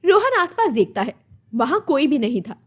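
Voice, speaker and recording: High-pitched woman storyteller. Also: sing-song, moderate pace. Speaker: narrator. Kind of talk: scripted moral-story narration.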